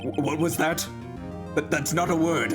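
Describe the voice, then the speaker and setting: A man's voice: fairly high pitch, normal speed, music in the background, lightly acted. A man voicing a bumbling, easily distracted courtroom judge in a game, stammering between mock formality and grumbling asides. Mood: flustered authority. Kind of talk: playful amateur voice-over of a courtroom game.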